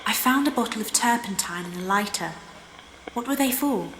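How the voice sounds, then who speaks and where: A woman's voice: fairly high pitch, expressive, moderate pace, fairly bright tone. An actress playing a woman investigator in a horror game, asking direct, probing questions. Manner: direct, inquisitive. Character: woman investigator questioning spirits in a haunted hotel. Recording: echoey with clear background noise.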